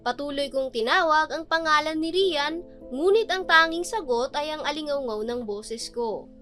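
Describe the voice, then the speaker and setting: A woman's voice: high voice, normal speed, a bit bright, faint music behind. A woman playing a young female student telling her love story in an earnest, poetic radio drama narration. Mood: anxious, tearful memory.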